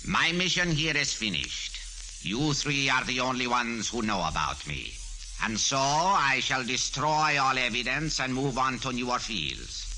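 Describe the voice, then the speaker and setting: An actor in 1940s radio style playing a megalomaniac cult villain, grandiose, pompous and coldly polite in formal, theatrical speech. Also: male voice, mid-range voice, big pitch swings, measured pace, bright, forceful tone, full character voice, poor audio. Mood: commanding. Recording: vintage 1940s radio with organ music.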